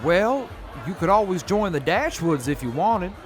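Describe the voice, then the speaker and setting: A weary militia major speaks in a measured, rueful way, played by a man. The voice sits a little high, with a faint crowd murmur behind it. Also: big pitch swings, faint music behind. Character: weary male militia major, self-reflective. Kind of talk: audio drama acting.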